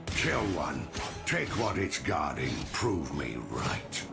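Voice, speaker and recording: Gravelly, wildly swinging bitter nobleman. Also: rumbling low, big pitch swings, slow, exaggerated voice. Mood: seething. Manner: theatrical, swinging from mutters to shrieks. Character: volatile, bitter male nobleman, explosive and self-loathing. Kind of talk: cinematic game dialogue. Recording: very loud music behind the voice.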